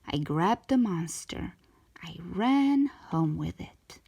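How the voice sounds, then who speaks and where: A woman reading a children's story aloud as a storytime host, expressively and with drawn-out emphasis for young listeners. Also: on the low side, expressive, vocal fry, mellow, husky. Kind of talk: animated children's story narration.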